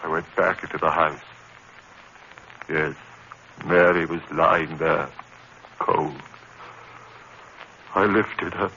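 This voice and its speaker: A man's voice: rumbling low, steady tone, takes it slowly, gravelly, pauses often, pushed and bright, dull audio, slight background noise, heavily acted. A man playing an anguished, haunted murderer in overwrought 1950s horror drama style, on an old broadcast recording. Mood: grief-stricken, resigned. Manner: frantic, melodramatic, overwrought. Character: anguished condemned murderer haunted by a demon woman.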